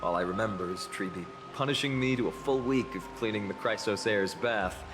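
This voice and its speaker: A man voicing an earnest, wistful young warrior lord in a video game, reflective and gently wry. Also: fairly low pitch, brisk, close to an everyday voice. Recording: long reverb, loud music behind.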